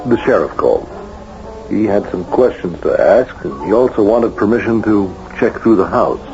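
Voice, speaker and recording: An actor in an old-time radio drama playing a composed doctor and family friend who questions in a deliberate, pressing way. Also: male voice, fairly low pitch, a little mellow, faint music behind, close to an everyday voice. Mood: matter-of-fact. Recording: dull old radio broadcast.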